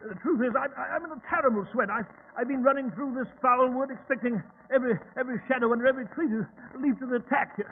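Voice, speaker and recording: A tinny old radio recording with light hiss. a British gentleman sidekick with a high male voice, nervous and blustery, who stammers and bumbles in radio-play fashion. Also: fast, hardly any pauses. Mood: flustered. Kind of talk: old-time radio drama acting.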